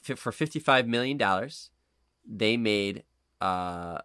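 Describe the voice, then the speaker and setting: A man co-hosting a podcast in casual, animated, sweary conversation. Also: on the low side, slow, clear, resonant tone, no room sound, clean studio sound. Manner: animated, profane. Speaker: podcast co-host. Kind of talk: casual chat.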